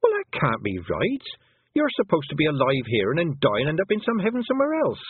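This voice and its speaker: A sharp, earnest young girl, as a male narrator puts her on in a raised, questioning voice. The sound is husky and tinny, like a phone line, in a dry storybook reading. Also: on the high side, huge pitch swings, a little fast, dry recording, voice pushed up, full character voice.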